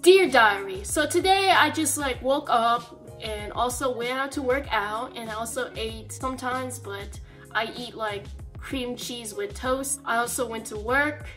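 A young woman with a fairly high voice records a casual diary vlog in a bedroom. She rambles in a halting way, leaning on "like" between thoughts. Faint music sits behind her voice. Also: fairly bright tone, low-quality recording. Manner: rambling, halting, filler-heavy with frequent "like". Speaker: vlogger. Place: small bedroom, indoors.

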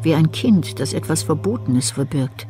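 A woman narrating a dark crime radio play in a composed, scripted style. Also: low-pitched, animated, normal speed.

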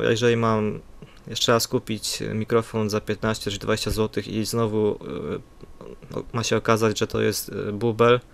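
A man's voice: fairly low pitch, vocal fry. A man giving a casual spoken product review in a hesitant, plain-spoken, improvised way into a USB desktop microphone. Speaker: amateur gadget reviewer. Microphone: USB condenser desktop microphone.

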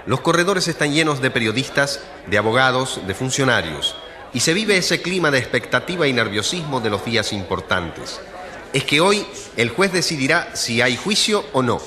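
A man's voice: mid-range voice, pushed and bright. A man acting an inquisitive radio reporter in a radio drama, broadcasting live from a courthouse story. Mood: expectant. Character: inquisitive male radio reporter covering a tense court case. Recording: reverberant hall sound.

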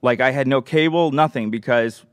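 Young male podcast guest, sheepish and self-mocking, casual anecdote delivery, close dry mic, average pitch. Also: normal speed, clear, resonant tone, no echo. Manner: self-deprecating, sheepish storytelling. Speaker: podcast guest telling a personal story. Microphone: close studio podcast microphone.

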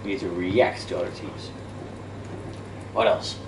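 A Dutch-native man in his thirties with a lowish voice lectures a room of students in a loose, self-deprecating way, stumbling and restarting often. He is caught by a camera across an echoey classroom. There is clear hiss and room noise behind him. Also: steady tone, unhurried. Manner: casual, self-deprecating, many restarts and fillers; walks and gestures while talking. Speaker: debate workshop lecturer. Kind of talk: interactive lecture. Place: classroom with projector screen, indoors. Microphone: far camera. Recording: echoey classroom from far off, noisy.

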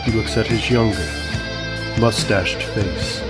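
A man's voice: fairly low pitch, fairly even intonation, takes it slowly. A man reading scripted narration for a comedy audio drama. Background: music.

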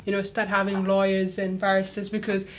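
Young woman, from East Africa, interview guest, flat intonation, earnest explaining, music in background. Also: mid-range voice, fairly quick. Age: young adult. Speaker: interview guest, law student. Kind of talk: conversational interview answers. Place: small studio, seated at a desk.

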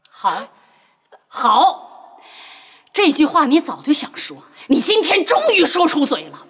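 A sharp-tongued mother. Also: sits high, very animated, measured pace, exaggerated voice. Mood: indignant. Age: middle-aged. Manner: scolding, sarcastic, turns tearful. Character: theatrical, sharp-tongued middle-aged mother. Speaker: mother of grown children. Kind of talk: TV drama acting.

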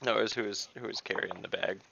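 A man's voice: lowish voice, steady tone, fast talker, gravelly. A man playing a tabletop game over a video call, joking dryly in character. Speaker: player. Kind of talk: casual chat in a tabletop game. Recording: dull lo-fi call audio with faint noise.

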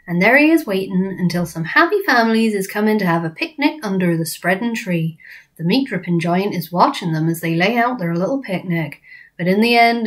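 BFG, a woman doing a gentle giant. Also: mid-range voice, close to an everyday voice. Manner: jumbled words, playful mangled grammar. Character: big friendly male giant, kindly, muddled grammar.

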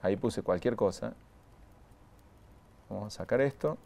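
A man's voice: lowish voice, measured pace, gravelly, darkish tone. A man, leading a live online training. He explains patiently, repeats the key points and speaks straight to the viewers. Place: studio in front of a graphic backdrop.

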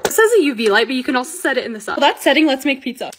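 A young woman with a slightly raspy voice, narrating her home projects in a chatty, excitable vlog voice-over. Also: high-pitched. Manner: chatty, excitable, quick asides. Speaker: lifestyle vlogger.

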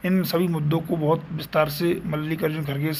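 A man's voice: fairly high pitch, flat delivery, a little fast, vocal fry, darkish tone, a little hiss or hum. A man narrating a scripted news video, reading aloud and now and then stumbling over words.

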